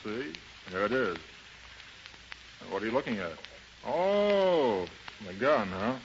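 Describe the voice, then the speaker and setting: A man's voice: mid-range voice, very animated, slow, gravelly, exaggerated voice. An actor playing a pushy, hard-boiled gambling-house henchman in a 1930s radio drama, with slangy American speech. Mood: menacing. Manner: slangy, tough-talking. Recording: dull, noisy 1930s radio recording.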